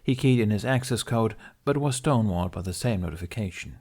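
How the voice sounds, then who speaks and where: A man's voice: lowish voice, expressive, a little fast, darkish tone, breathy edge. A man reading a scripted science fiction story aloud as an audiobook narrator. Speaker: audiobook reader.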